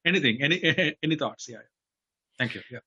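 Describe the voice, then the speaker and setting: A man hosting a poetry festival interview over a video call, asking long, halting questions full of restarts. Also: on the high side, lively intonation, sharp tone, husky, highs cut off. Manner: hesitant, many fillers and restarts.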